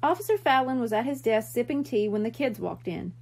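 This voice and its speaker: A woman narrates a children's story in a high voice. Her pitch moves around a great deal, giving the scripted reading a lively, expressive storytelling feel. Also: brisk, creaky.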